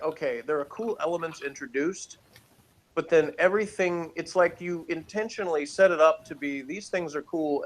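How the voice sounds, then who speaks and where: A man giving a casual, opinionated review as a podcast co-host, rambling and emphatic in an exasperated way. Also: a little high.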